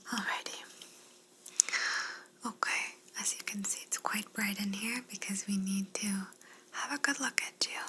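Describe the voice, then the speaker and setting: A woman playing a polite, curious alien examiner in an ASMR roleplay, speaking in a soft breathy whisper with a soothing, clinical manner. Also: mid-range voice, slight background noise, low-quality recording. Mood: soothing, reassuring. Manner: slow, soothing, clinical, courteous.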